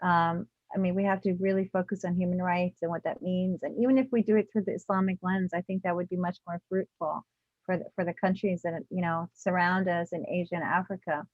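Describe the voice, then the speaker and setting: A woman's voice: on the low side, fairly even intonation, a little fast, dry recording. A woman professor speaks as a guest over a video call, her voice dull and dry, with no room sound. She explains earnestly and steadily, dropping in "you know" and "I mean" as she goes.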